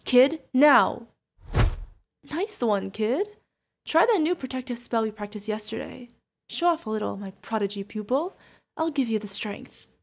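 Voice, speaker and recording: A woman's voice: fairly high pitch, animated, moderate pace, creaky, darkish tone. A woman playing a fierce yet tender witch mentor who is protective of an adopted pupil, in an emotional fantasy audio roleplay. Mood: defiant, commanding. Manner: emotional, commanding, affectionate, pleading. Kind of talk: ASMR fantasy roleplay acting.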